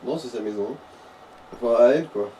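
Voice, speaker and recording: A man's voice: medium pitch, fairly quick, mellow. A man chatting casually as co-commentator of a video game, giving dry, corrective advice to the player. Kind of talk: casual gaming chat. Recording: distant mic with faint noise.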